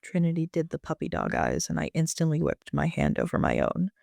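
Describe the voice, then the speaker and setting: A man's voice: a little high, huge pitch swings, brisk, creaky, darkish tone, dry recording, studio quality. A man reading scripted first-person story narration as an amateur author.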